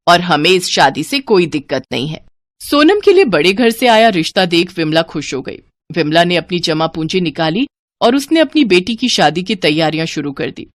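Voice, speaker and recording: A woman's voice: on the low side, dry recording. A woman narrating a scripted moral story as a storyteller.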